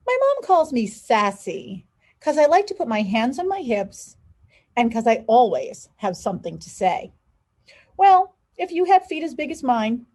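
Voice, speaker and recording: Female educator, higher pitch, dark mellow tone, expressive picture-book storytelling. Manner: expressive storytelling with stressed phrases. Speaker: school superintendent reading aloud. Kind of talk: children's picture book read-aloud.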